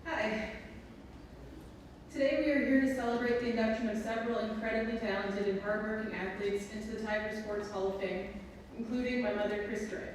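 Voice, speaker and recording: A woman's voice: on the high side, steady tone, brisk. Female presenter, Wisconsin accent, reading a prepared speech, far from the mic in a reverberant hall. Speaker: presenter introducing her mother. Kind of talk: prepared speech read aloud. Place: large echoing hall at a ceremony. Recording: echoey hall from far, faint noise.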